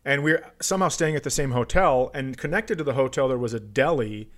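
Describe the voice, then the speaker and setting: A man hosting a casual comedy podcast, chatting in a teasing, deadpan way. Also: medium pitch, brisk.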